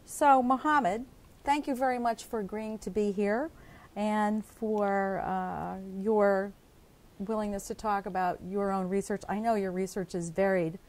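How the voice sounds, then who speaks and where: A woman's voice: mid-range voice, steady tone, measured pace, a little mellow. A woman hosting a community access television interview, encouraging her guest with some hesitant fillers.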